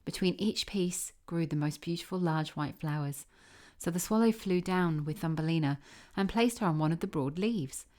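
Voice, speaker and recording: A woman narrating a children's fairy tale from a script in a measured, expressive storytelling manner. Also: lowish voice. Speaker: audiobook narrator.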